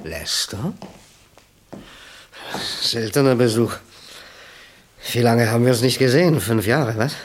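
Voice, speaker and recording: An actor playing a chummy, boastful small-time gangster boss in a radio crime drama, talkative and jovial. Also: male voice, mid-range voice, lively intonation, a little slow, pauses often. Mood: falsely jovial.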